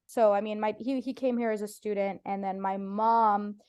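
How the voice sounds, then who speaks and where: Chatty woman guest telling animated stories. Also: medium pitch, normal speed, smooth. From United States (Ohio). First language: Farsi. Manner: chatty, animated storytelling with playful voiced quotes. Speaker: guest comedian. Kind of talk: casual podcast interview.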